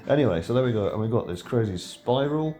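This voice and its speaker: A man's voice: mid-range voice, animated, fast talker, warm tone, faint music behind. A middle-aged man giving casual, rambling gameplay commentary, full of ums and self-corrections. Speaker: game video creator.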